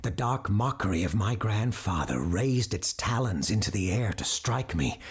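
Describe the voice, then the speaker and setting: A man playing a grave doctor who hosts an occult radio show, telling stories in a measured, theatrical, formal way with a gravelly, breathy voice. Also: lowish voice, lively intonation. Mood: ominous, hushed. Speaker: radio host. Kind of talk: dramatic scripted storytelling in a radio drama.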